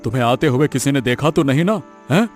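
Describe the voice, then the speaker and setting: A man's voice: medium pitch, expressive, a little fast, close to an everyday voice. A man voicing a smug, bullying village businessman in a cartoon moral story, self-important and condescending. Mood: gleeful, conspiring. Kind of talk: animated moral story dialogue in Hindi. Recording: music behind the voice.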